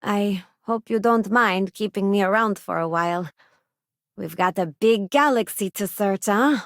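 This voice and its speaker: A woman's voice: medium pitch, a little slow, no echo, studio quality, natural voice. An actress playing an earnest, loyal and idealistic young woman crewmate in a space video game, speaking conversationally. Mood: friendly, upbeat.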